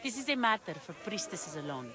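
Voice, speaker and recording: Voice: medium pitch, big pitch swings, brisk, a crowd in the background, close to an everyday voice. A performer playing a stern, scornful priestess in a video game, haughty and declamatory. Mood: haughty, cold. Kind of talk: video game cutscene acting.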